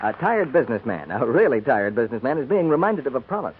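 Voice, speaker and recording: Old time radio announcer guy, swoopy sales pitch, tinny sound. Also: sing-song, quick pace, slight background noise. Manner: polished salesman delivery. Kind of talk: 1940s scripted radio advertising. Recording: tinny 1940s radio recording.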